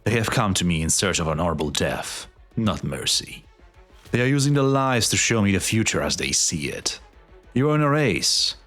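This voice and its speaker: A man acting a stern, commanding general in a game fan dub, speaking gravely and formally. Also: on the low side, big pitch swings, normal speed, a bit bright. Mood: grim resolve. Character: stern, commanding male general, proud and unyielding. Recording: background music under the voice.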